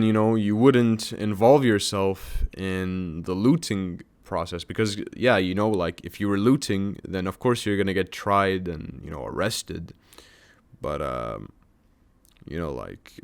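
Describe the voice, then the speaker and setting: A man's voice: deep voice, clear, resonant tone. A man giving casual, mocking commentary while reading a news article, rambling with many fillers and restarts. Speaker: commentary YouTuber.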